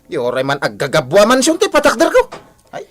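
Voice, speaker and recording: Proud, touchy Ilocano husband, swooping voice. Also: male voice, sits high, wildly swooping pitch, fast talker. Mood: sullen, boastful. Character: proud, touchy, boastful husband and father, short-tempered. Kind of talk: Ilocano radio drama acting.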